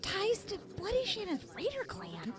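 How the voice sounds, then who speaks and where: A woman's voice: very high-pitched, huge pitch swings, normal speed, full character voice. An actress playing a bewildered, indignant onlooker exclaiming in an audio drama, with a crowd behind her. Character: agitated, bewildered onlooker at a trial.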